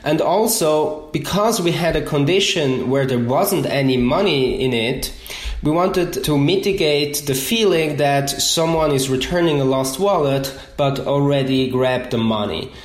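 A man from Switzerland with a Swiss accent, explaining his research in measured detail as an interview guest. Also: fairly high pitch, reverberant. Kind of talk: interview answers.

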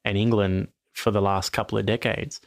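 A man's voice: fairly low pitch, flat delivery, moderate pace, no room sound. A man talking sport on a podcast in a rambling, frank way, often restarting his sentences. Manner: rambling, self-correcting, frank opinions. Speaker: podcast host. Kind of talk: casual sports chat.

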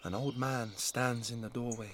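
A man reading an audio drama aloud as its narrator, in short, measured, dramatic phrases. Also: medium pitch, steady tone, noisy background.

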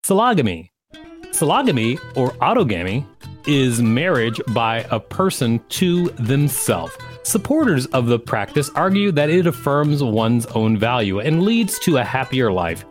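A man hosts a podcast in a mid-pitched voice with very lively intonation. He moves between reading aloud and casual banter. Soft guitar music plays behind him. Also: unhurried. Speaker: podcast host.

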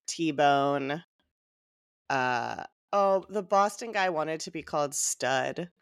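A woman's voice: deep voice, lively intonation, slow pace, clean tone, no echo, studio quality. A woman co-hosting a podcast, chatting casually and giggling between remarks over a remote call. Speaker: podcast co-host. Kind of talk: casual chat recapping a reality show. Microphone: remote call microphone.

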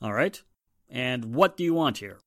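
A man's voice: medium pitch, animated, measured pace, no room sound, clean studio sound, close to an everyday voice. A man acting a tough, bossy police inspector in a 1940s-style radio drama, curt and commanding. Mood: stern.

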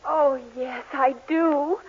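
High, slow, cooing young sweetheart. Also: female voice, steady tone. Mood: cooing, lovestruck. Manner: cooing, exaggerated. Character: gushing, lovestruck young sweetheart feigning romance. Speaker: actress in a radio sitcom. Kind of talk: 1940s radio comedy acting. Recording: muffled vintage 1940s radio recording.

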